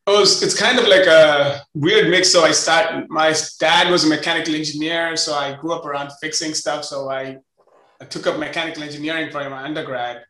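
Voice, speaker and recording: A man in his forties with an Indian accent talks casually as an interview guest. His voice is bright and a little high for a man. The sound is roomy and echoey. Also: fairly even intonation, a little fast. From Chennai, India. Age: 40s. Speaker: interview guest, company co-founder. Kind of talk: casual conversational storytelling.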